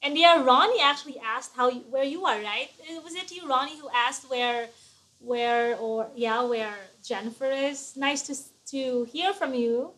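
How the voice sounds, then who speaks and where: A woman co-hosting a live stream, welcoming viewers by name. Her voice sits slightly high. She talks in an easy, conversational way and often uses "like" as filler. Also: normal speed, fairly bright tone, poor audio. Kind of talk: casual live chat greeting viewers.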